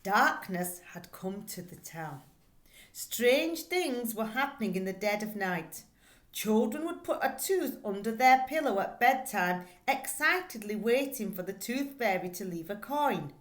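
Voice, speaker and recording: A middle-aged woman speaks as a teacher giving a read-aloud lesson. Her pace is slow and deliberate, with expressive storytelling and questions for young listeners. The sound is echoey, picked up by a desk-distance microphone in a small room. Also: a little high. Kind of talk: read-aloud lesson for pupils, reading and explaining a children's book. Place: small plain indoor room. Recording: echoey, roomy indoor recording.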